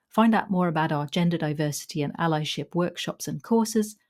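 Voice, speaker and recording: Low-pitched woman hosting a podcast. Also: a little mellow. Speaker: podcast host. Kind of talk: scripted intro and interview.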